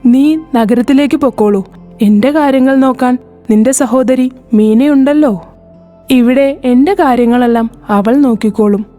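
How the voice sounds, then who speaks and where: Woman doing a soft motherly old village mom, high mellow voice, music under it. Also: normal speed, lightly acted. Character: caring older village mother, frail but encouraging.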